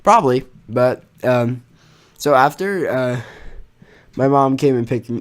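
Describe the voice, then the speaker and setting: Animated young man telling stories. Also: medium pitch, slow pace. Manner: animated, exaggerating, slangy and sweary. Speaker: storytelling YouTuber. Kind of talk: casual personal storytime.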